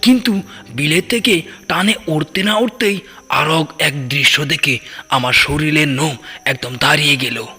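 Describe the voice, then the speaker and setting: A man's voice: on the high side, measured pace, sharp tone. A man narrating a scripted first-person ghost story in a dramatic storytelling style. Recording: long cavernous reverb, music underneath. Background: background music.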